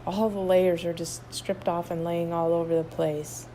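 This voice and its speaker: A woman leading a guided meditation, soothing, with drawn-out phrases and long pauses between them. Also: fairly low pitch, level tone, mellow, a little hiss or hum, rough recording.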